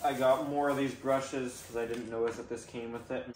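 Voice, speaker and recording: A man's voice: medium pitch, level tone, moderate pace. A man giving an informal, unscripted show-and-tell as a video host, rambling and thinking aloud as he goes. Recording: echoey room, faint background noise.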